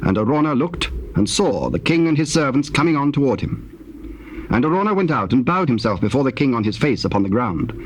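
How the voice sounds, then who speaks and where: Measured male scripture narrator. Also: medium pitch, very animated. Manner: measured, formal. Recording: faintly noisy old cassette tape.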